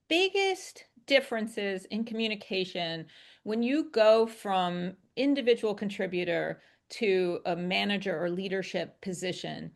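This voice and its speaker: A woman working as a communication coach, explaining conversationally with examples in an emphatic, informal way and checking in as she goes. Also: medium pitch.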